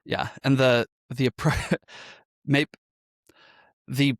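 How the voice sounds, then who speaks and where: A man of medium pitch talks as a guest in an interview. He explains things conversationally, often checking that he is being followed. The recording is dry and free of room echo. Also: steady tone, takes it slowly. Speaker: podcast guest, audio drama creator.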